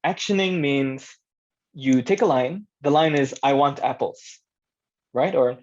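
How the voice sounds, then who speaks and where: A man's voice: mid-range voice, measured pace. A man giving an informal workshop talk as presenter, conversational, thinking aloud and checking in with his listeners. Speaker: workshop presenter, audio drama director. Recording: dull, lo-fi band-limited sound.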